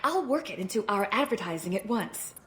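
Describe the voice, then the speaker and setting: An actress playing a polite, eager sales clerk in a science fiction video game. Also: female voice, mid-range voice, very animated, brisk, background noise, close to an everyday voice. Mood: excited, eager. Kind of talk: video game character acting.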